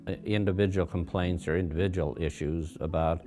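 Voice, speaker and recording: Deep-voiced man talking over faint guitar. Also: steady tone, fairly quick, darkish tone. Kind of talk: documentary interview.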